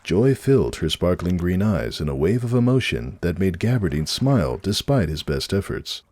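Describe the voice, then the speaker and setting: A man's voice: sits low, big pitch swings, normal speed, darkish tone. A man reading a story aloud as narrator in a dramatic, scripted narration style.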